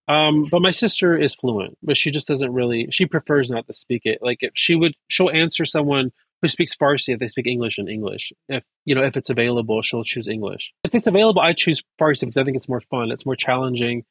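A man, raised speaking Persian at home, talking in an animated way with quick bursts and restarts as an interview guest. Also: medium pitch, slightly rough, rough recording. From United States. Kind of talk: casual interview.

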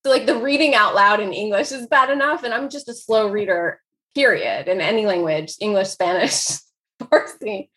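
A woman's voice: mid-range voice, moderate pace, fairly bright tone, low-quality recording. American woman, 40s, author guest, rambling chatty delivery with lots of laughs. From United States. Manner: chatty, laughs often, many "like" fillers. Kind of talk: casual conversational interview.

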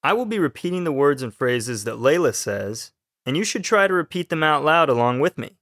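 A man's voice: on the high side, sing-song, a little fast, fairly bright tone, no room sound, clean studio sound. Young Texan man co-hosting a lesson. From Texas, USA. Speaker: co-host, learner. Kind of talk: scripted podcast lesson.

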